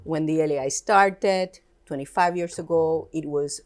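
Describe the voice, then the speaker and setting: A woman's voice: deep voice, a little slow, a little mellow. A woman from Puerto Rico with a Puerto Rican Spanish accent, a Spanish native speaker, talking earnestly as an interview guest. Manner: earnest, emphatic, slightly non-native grammar. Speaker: education institute director, guest. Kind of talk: casual interview conversation.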